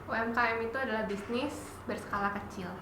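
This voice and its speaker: A nineteen-year-old woman answering interview questions in a relaxed, chatty way. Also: a little high, fairly quick. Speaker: interviewee, student. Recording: echoey room, noisy background.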